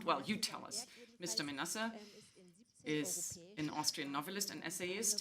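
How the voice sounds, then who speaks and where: Female moderator, bright and forward, lower register, leads a formal panel. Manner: introduces guests at length.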